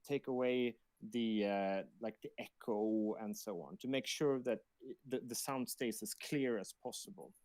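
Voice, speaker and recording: A man of middling pitch chats casually on a livestream. He phrases things loosely and hesitantly, with plain enthusiasm. The sound is dry, with no room to it. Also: a little slow, few pauses, a little mellow, no echo. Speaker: sound and music person on a game team.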